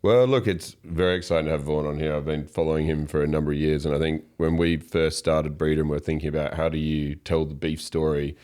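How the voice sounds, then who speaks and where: Male co-host, extremely low and monotone voice, dark tone, talks casually. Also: fast, hardly any pauses. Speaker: co-host, company founder. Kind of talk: casual podcast chat.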